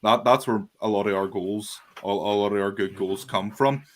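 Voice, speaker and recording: A man's voice: fairly low pitch, steady tone, normal speed. A man with a Northern Irish accent giving his view as a guest on a casual podcast chat, earnest and full of restarts. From Northern Ireland. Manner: earnest, hesitant with many restarts.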